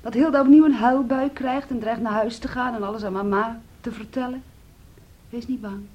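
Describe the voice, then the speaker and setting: A woman's voice: fairly high pitch, steady tone, normal speed, highs cut off, close to an everyday voice. A woman acting a wounded, weary daughter in a radio play, resigned and sardonic. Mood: sad, taunting.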